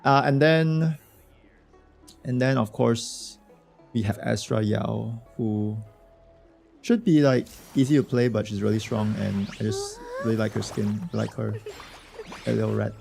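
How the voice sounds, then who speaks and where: A man streaming a game with a hoarse voice from a cold, chatting loosely and slangily with his viewers. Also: lowish voice, steady tone, a little slow, long pauses, a little mellow, faint music behind. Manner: slangy, rambling, talks to chat. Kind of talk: casual livestream chat reacting to a game.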